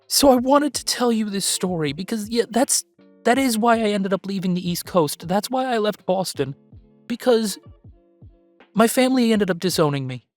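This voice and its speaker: A woman's voice: mid-range voice, lively intonation, a little mellow, soft background music, lightly acted. Woman as an earnest young male werewolf streamer. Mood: heartfelt confession. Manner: heartfelt, halting, informal streamer chatter. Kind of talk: audio roleplay as a livestream confession to viewers.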